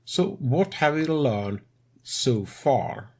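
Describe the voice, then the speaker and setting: A man speaking English as a second language, narrating a game tutorial as host in a measured way with non-native grammar. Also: medium pitch, sing-song, vocal fry, a little mellow, slightly rough. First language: not English.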